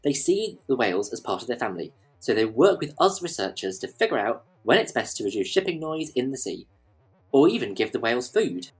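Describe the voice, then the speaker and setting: Researcher for audio drama: very deep voice, slightly rough, patient and explanatory. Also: female voice, sing-song, brisk, darkish tone, heavily acted, low-quality recording. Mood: earnest. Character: earnest adult whale researcher explaining her work. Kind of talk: audio play acting.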